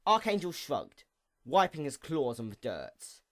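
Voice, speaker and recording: A man reading a fantasy story as a narrator, deliberate and dramatic, with pauses for effect. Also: on the high side, sing-song, a bit bright.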